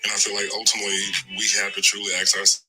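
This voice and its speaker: A man's voice: on the low side, completely flat delivery, racing. A middle-aged man on a reality show, speaking candidly and reflectively in conversation. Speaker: reality show cast member, husband. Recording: music under TV show clip. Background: background music.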